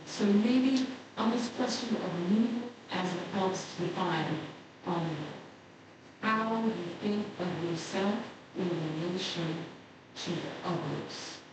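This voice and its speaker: Halting woman moderator in an echoey hall. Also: mid-range voice. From Southern United States. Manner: hesitant, many ums, searching for words. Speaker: panel moderator. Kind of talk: moderating a panel discussion. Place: large lecture hall. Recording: echoey hall, speaker far from mic, clear background noise.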